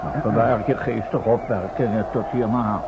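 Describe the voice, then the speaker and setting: An actor playing a fussy, proper professor with a fretful, indignant manner in a radio play. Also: male voice, on the low side, fairly even intonation, moderate pace, natural voice. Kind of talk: old radio play acting. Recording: very noisy, dull old radio recording.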